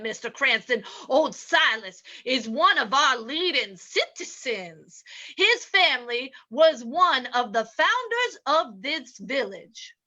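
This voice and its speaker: A woman's voice: sits high, bright, forceful tone, full character voice. A woman playing a baffled, hesitant small-town sheriff in a radio drama reading. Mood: earnest. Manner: hesitant, halting. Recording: dull lo-fi sound.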